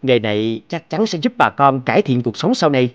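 A man's voice: mid-range voice, sing-song, a little fast, no echo, dull audio, lightly acted. A man playing an earnest, devoted young scholar in a cartoon, speaking expressively. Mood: instructive. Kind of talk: cartoon voice acting.

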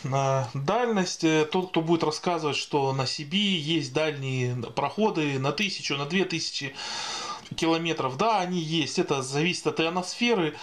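A man giving an informal explainer voice-over as a video blogger, speaking in a halting, hesitant way. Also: a little high, fairly bright tone. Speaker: video blogger answering viewer questions.

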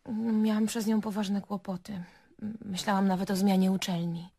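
An actress playing a nervous, bitter murder suspect in a radio drama, speaking in a halting, defensive and emotional way. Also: female voice, medium pitch, flat delivery, dark tone. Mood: bitter, confessional. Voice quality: husky, breathy. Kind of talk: Polish radio drama acting.

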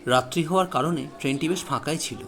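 A man telling a first-person horror story as a dramatic narrator, with suspenseful, deliberate phrasing. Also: on the high side, a bit bright.

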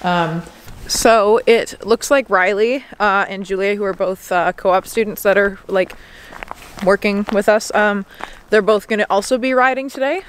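A woman's voice: mid-range voice, unhurried, a little hiss or hum. A woman in her twenties with a Canadian accent, chatting casually to camera with loose, hesitant phrasing. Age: 20s. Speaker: vlogger and horse rider. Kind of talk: casual vlog chat to camera. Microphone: camera held at arm's length or set on dashboard.

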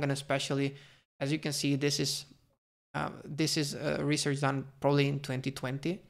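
A man's voice: on the high side, gravelly. A young man hosting a finance channel, talking through a stock valuation over slides and thinking aloud with fillers, restarts and careful hedging. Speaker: finance video host.

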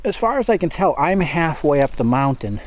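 Guy in his 40s hiking in the woods, wondering out loud, mic on his chest, bit of outdoor hiss. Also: on the high side, sing-song, brisk. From New England. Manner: musing, curious, thinking aloud as he walks. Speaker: hiking vlogger. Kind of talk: casual walking narration to camera. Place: outdoors in forest on a mountain. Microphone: body-worn action camera.

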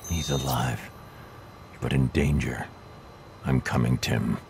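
An actor playing a grim, stoic vigilante detective in a video game, terse and analytical. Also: male voice, extremely low pitch, steady tone, takes it slowly, background noise, full character voice. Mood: grim, resolute.

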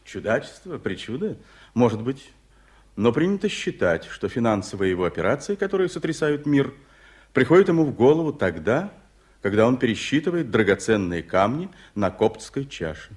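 An actor playing a tycoon's efficient, discreet secretary with a formal, measured manner in an old radio play. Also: male voice, medium pitch, sing-song, a little mellow, husky. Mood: detached, explanatory. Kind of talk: 1980s Soviet radio theatre acting.

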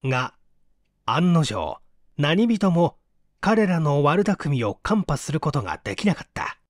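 A man reading literary fiction aloud as a narrator, in a theatrical, deliberate storytelling manner. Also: a little high, sing-song, no echo, clean studio sound. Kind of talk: dramatic literary audiobook reading.

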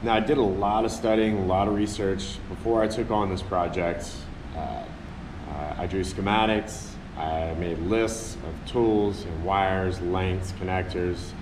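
A man who owns a sailboat, methodically walking through a battery install step by step. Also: sits low. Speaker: sailboat owner. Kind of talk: explaining a do-it-yourself install. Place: inside a sailboat cabin. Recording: echoey cabin with loud hum.

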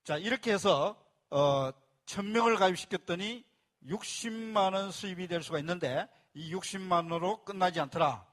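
A man with a voice pitched a little above the usual male range presents at a live seminar. He explains patiently step by step, starting phrases with "자" and repeating key points for the room. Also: moderate pace, fairly bright tone. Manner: speaks to a live audience and asks for applause. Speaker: business presenter explaining a membership plan.

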